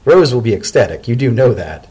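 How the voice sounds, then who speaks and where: A man voicing an anxious, affectionate male pony in a story reading, speaking in a hesitant, earnest and excitable way. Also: medium pitch, fairly quick, breathy edge. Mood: warm.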